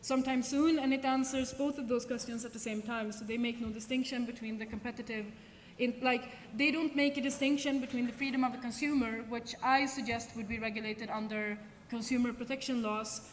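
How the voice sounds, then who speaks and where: A woman's voice: on the high side, fairly even intonation, fairly quick. A woman with a slightly raised voice fields questions after a conference talk, hedging and restarting as she thinks things through. Her words carry a long reverb from a large lecture hall. A faint hiss of noise sits underneath. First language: Swedish. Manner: thinks aloud with frequent ums and restarts. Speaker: conference speaker.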